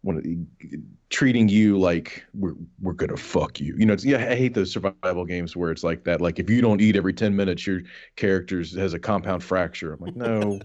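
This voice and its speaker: A man on a podcast panel giving a casual, halting game review, often repeating his words. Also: deep voice, a little mellow. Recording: dull, band-limited voice audio.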